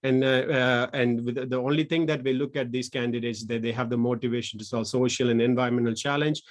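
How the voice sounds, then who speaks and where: A man in his thirties from Sri Lanka, a social entrepreneur giving an online presentation, speaking haltingly with many restarts. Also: mid-range voice, fairly even intonation.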